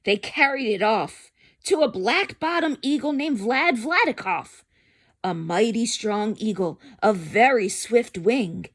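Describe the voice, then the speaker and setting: A woman's voice: on the high side, expressive, unhurried. A woman reading a children's picture book aloud expressively and chatting to young listeners about it. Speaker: storyteller.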